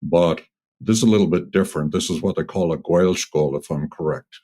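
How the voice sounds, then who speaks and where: A man hosting a podcast who asks measured questions and checks facts with his guest. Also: sits low, warm tone. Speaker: podcast host. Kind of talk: interview questions.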